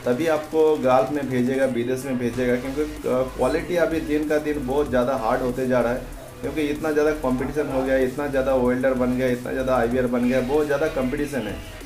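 A man's voice: mid-range voice, steady tone, fast talker, darkish tone. A man gives an informal, repetitive voice-over explanation, addressing viewers as friends. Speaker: host of a welding advice video. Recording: roomy echoing voice-over with music behind. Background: background music.

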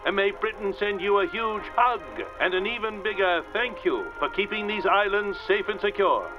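An actor playing a gushing, patriotic 1950s newsreel announcer in a radio drama, pompous and rousing, through an old newsreel filter. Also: male voice, high voice, normal speed. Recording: muffled old newsreel, music behind.

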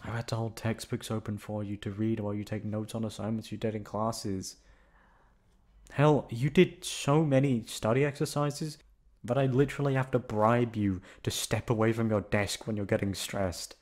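A young man playing a gruff but affectionate, protective delinquent student in an intimate ASMR roleplay, talking in a casual, foul-mouthed way. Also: lowish voice, steady tone, normal speed, a little mellow, close to an everyday voice. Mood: tender, concerned.

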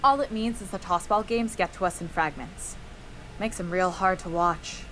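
A woman's voice: fairly low pitch, moderate pace, a bit bright, a little hiss or hum, natural voice, low-quality recording. Woman playing a gushing, chatty young sports fan. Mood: wry, chatty. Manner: gushing, talkative. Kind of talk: video game character acting.

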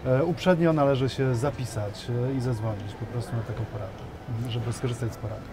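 A man in his thirties with a mid-range voice, explaining things in a measured way with hesitant fillers. Clear shopping-mall noise sits behind him. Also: medium pitch. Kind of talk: interview answers. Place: shopping mall seating area, indoors.

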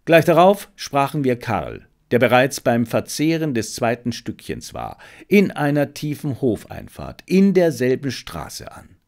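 Guy narrating an old detective story audiobook, medium pitch, really animated intonation. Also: a little slow, gravelly, hardly any pauses.

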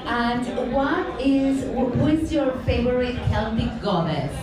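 A woman putting questions to a pageant contestant, speaking in a slow, drawn-out way with laughter in her words. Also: a little high, expressive. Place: large convention hall. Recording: echoey hall, distant mic, crowd noise.

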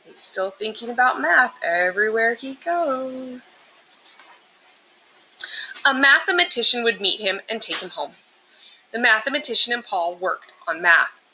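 A woman's voice: a little high, rough recording. A woman reading a children's picture book aloud expressively, explaining and emphasizing things for young listeners. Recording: clear steady background noise.

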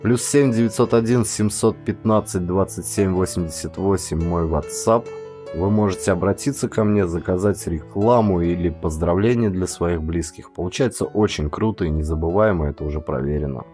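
A man's voice: sits low, normal speed, warm tone, faint music behind. A man, an audiobook reader, speaking directly to his listeners in a subdued, plain-spoken appeal. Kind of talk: channel support appeal to listeners.

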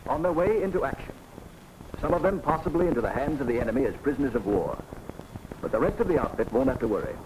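A man's voice: medium pitch, sing-song, fast talker, close to an everyday voice. An American man narrating a 1940s military training film in scripted instructional style. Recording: noisy old 1940s film soundtrack.

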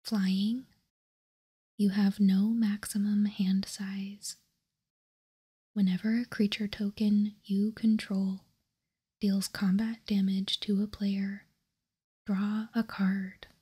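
A woman whispering in a hushed, calm and deliberate ASMR style as she gives card-by-card commentary, close to the microphone. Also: mid-range voice. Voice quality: breathy, unvoiced whisper. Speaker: host of a card game ASMR channel.

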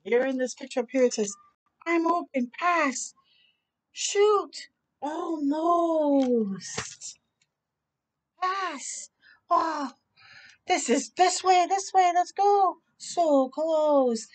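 High-voiced woman reading aloud to children. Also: extremely slow, long pauses. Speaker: children's storytime host. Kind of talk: animated read-aloud with chatty asides for children. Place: outdoor balcony near a park.

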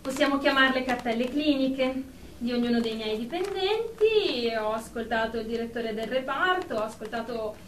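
Radio comedy: actress as snobbish, short-tempered female executive, high register, precise delivery, faint noise. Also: animated, normal speed, lightly acted. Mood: self-important, aggrieved. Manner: snappish, self-important, precise. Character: haughty, irritable businesswoman in group therapy. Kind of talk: comic radio drama dialogue. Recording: roomy, distant mic with faint noise.

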